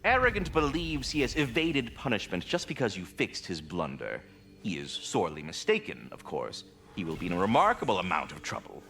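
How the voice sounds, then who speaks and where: A man's voice: low-pitched, huge pitch swings, a little fast, fairly bright tone, heavily acted. A man voicing a courteous, wry giant immortal squirrel in a video game, speaking in flowery, formal phrases. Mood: theatrical, delighted. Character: genteel, theatrical giant immortal squirrel, keeper of a world tree. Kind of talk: video game character dialogue.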